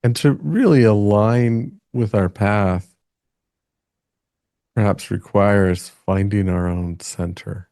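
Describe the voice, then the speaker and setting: Slow, low-voiced man guiding meditation. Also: fairly even intonation, dry recording, clean studio sound. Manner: gentle, halting delivery with long pauses. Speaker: meditation guide. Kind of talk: gentle guided meditation.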